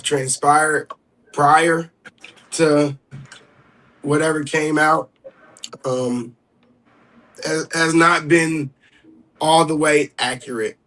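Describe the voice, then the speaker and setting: A man speaking as a livestream guest, explaining carefully and haltingly, often clearing his throat. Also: fairly high pitch, fairly even intonation, sharp tone, a little hiss or hum, poor audio.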